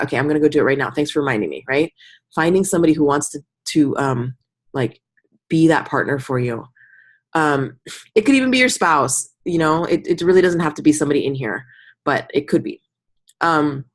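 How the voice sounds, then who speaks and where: A woman with a low, husky voice leads an informal group coaching call. She speaks conversationally as a mentor, offering encouragement and slipping into short imagined examples of what people might say. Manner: conversational, encouraging, often acting out example remarks in the listener's voice.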